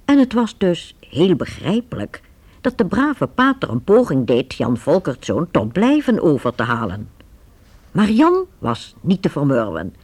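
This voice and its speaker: A man narrating a radio play in a calm storytelling way. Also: on the high side, unhurried, husky. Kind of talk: scripted narration.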